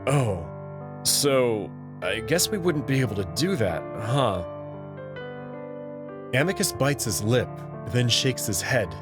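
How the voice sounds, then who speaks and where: A man reading a visual novel aloud in the first person, in an expressive, nervous way. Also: medium pitch, slow, long pauses. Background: background music.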